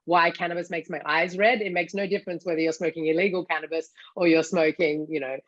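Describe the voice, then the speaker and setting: An Australian woman in her thirties talks through her answers in a steady, explanatory way. Her voice sits low, and the sound is dull and muffled with no room around it, like a video call. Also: no room sound. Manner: articulate, explanatory, quick to laugh. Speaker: CEO of a cannabis education site. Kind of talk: casual interview answers.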